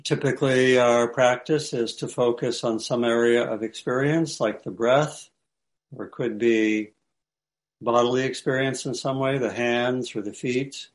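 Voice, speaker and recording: A man teaching meditation, giving light guided instructions in short phrases with pauses between them. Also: mid-range voice, steady tone.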